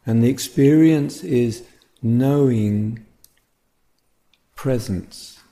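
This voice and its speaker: A man guiding a meditation as a teacher, speaking gently and contemplatively in short phrases separated by pauses. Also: mid-range voice. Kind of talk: guided meditation talk.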